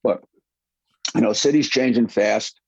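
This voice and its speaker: Husky low-voiced New man. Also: measured pace, darkish tone, no room sound. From New York City. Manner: rambling, repeats words. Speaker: film producer guest. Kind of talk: casual conversation. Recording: dull, lo-fi, dry sound.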